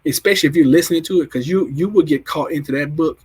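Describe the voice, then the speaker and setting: A man's voice: on the high side, fast, a little mellow, slightly rough. A man hosting a casual livestream, reading out chat comments and rambling with frequent restarts. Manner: rambling, repeats himself, restarts often. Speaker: livestream host.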